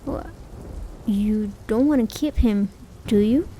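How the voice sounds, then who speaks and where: A woman's voice: medium pitch, slow pace, warm tone, slight background noise, natural voice. A woman acting a caring, worried, hesitant partner in a realistic slice-of-life ASMR roleplay. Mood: exasperated, gentle. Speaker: amateur voice actor. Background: rain sound effects.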